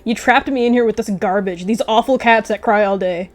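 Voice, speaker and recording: Treacherous, ambitious cat villain for a game roleplay, played by a woman: defiant, snarling, mid-pitched. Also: brisk, faint music behind, lightly acted. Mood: bitter hatred. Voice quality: raspy when cornered. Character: treacherous, ambitious medicine cat, panicked then bitter.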